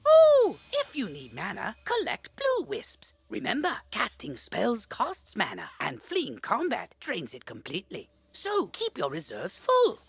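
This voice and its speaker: Husky, swooping game tutorial guide. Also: female voice, on the high side, huge pitch swings, a little slow, hardly any pauses, dry recording, full character voice. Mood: helpful, eager. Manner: instructive, swooping exclamations. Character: helpful, excitable female tutorial guide. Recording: faint music behind.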